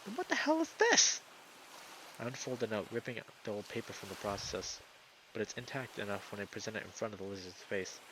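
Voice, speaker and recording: Lowish guy reading a visual novel out loud on stream, dramatic narration, pretty noisy audio. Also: fairly even intonation, fast talker. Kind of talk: dramatic first-person narration, read aloud.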